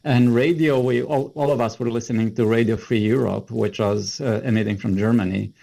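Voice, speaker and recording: A man's voice: mid-range voice, fairly even intonation, a little fast, creaky, mellow. A middle-aged man with a Romanian accent, explaining things conversationally as an interview guest. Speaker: interview guest, computer science educator.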